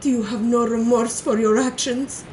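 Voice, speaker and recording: A woman voicing a distraught young woman who shouts accusations at a killer in an amateur radio drama. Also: fairly even intonation, normal speed, a little hiss or hum, close to an everyday voice. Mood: outraged. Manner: shrill, outraged shouting. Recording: echoey room.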